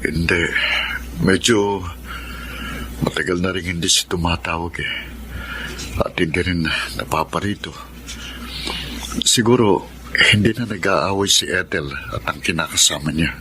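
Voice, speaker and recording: Deep-voiced betrayed Filipino husband. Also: male voice, unhurried, pauses often, sharp tone, slight background noise, full character voice. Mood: subdued, ashamed. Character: betrayed, remorseful husband, hot-tempered when wronged. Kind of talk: Filipino radio drama acting.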